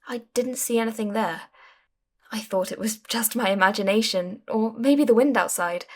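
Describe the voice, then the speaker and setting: Casting: actress as shaken young female caller, fairly high voice, dramatic and deliberate, telling an eerie personal tale for radio drama. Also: husky. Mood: uneasy. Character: shaken young woman caller recounting an eerie train encounter. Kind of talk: suspenseful scripted ghost storytelling.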